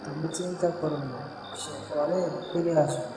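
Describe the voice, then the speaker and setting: A performer playing a gentle, protective pigeon uncle in a children's cartoon, consoling and explaining things. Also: mid-range voice, steady tone, moderate pace, close to an everyday voice. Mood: reassuring. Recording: very echoey long reverb, music behind.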